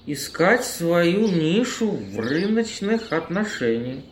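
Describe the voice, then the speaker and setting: A man's voice: fairly high pitch, big pitch swings, slow. An actor playing a dignified homeless man with a pompous, formal and businesslike manner in an amateur audio play. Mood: lecturing, haggling. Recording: roomy echo, noisy birdsong ambience.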